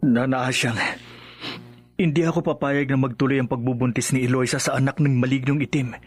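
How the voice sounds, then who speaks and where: Actor as urgent, troubled Filipino husband, mid-range voice over faint music, horror radio melodrama. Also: male voice, medium pitch, steady tone, a little fast, breathy edge, lightly acted. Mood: urgent, worried. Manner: hesitant, agitated.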